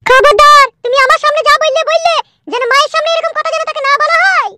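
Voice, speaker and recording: Shrill, scolding cartoon wife. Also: female voice, fast talker, very noisy. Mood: indignant. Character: indignant, quarrelsome young wife defending her mother. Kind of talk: comic cartoon dialogue.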